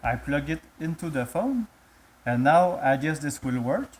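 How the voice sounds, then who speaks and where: A man with a French accent speaking halting non-native English, casually demonstrating gear as a vlogger. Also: on the high side, sing-song. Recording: clearly noisy amateur recording with clicks.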